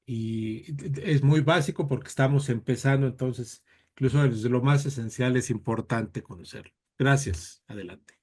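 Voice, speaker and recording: A man's voice: mid-range voice, moderate pace, a little mellow. A man who coordinates a seminar introduces the guest speaker politely, with frequent fillers. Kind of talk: formal introduction.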